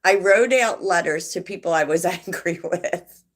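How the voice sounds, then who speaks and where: A woman hosting a tarot livestream, chatting softly and reflectively with viewers and laughing often. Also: lowish voice, measured pace. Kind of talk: casual livestream chat answering viewers.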